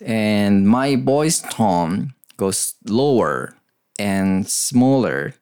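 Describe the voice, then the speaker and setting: A man's voice: on the low side, animated, drawn-out words, a little mellow, clear, resonant tone. A man with a Japanese accent, a native Japanese speaker, co-hosting a casual bilingual podcast. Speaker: podcast co-host. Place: small makeshift studio behind a cafe.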